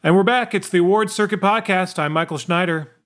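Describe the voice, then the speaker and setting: A man's voice: sits high, fairly quick. A man hosting a podcast, chatting loosely with a guest and reading scripted segment intros. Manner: lively, mixes loose chat with read-out intros. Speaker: podcast host.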